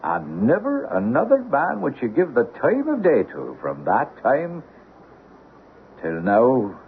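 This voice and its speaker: A man's voice: medium pitch, wildly swooping pitch, slow, heavily acted. An actor playing a gossipy Irish village man, wry and folksy, with a lilting Irish accent in an old-time radio drama. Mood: gossipy, knowing. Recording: muffled, noisy old radio recording.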